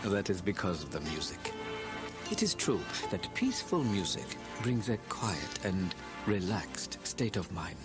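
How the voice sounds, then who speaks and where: Low-voiced man under loud music. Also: very animated, a little slow. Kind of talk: formal spoken address.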